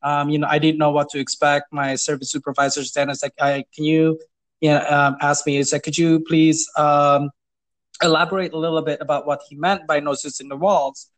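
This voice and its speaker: A man's voice: fairly high pitch, little pitch movement, moderate pace, fairly bright tone, poor audio. A man working in property management, telling stories in a casual interview, hesitant with many fillers and restarts, chuckling now and then. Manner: amused, chuckles while telling.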